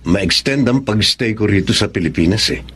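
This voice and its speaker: A man's voice: lowish voice, animated, moderate pace, background noise, natural voice. An actor playing a persistent, flirtatious boss courting his employee in a Tagalog radio drama, playful and insistent. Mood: earnest, casual.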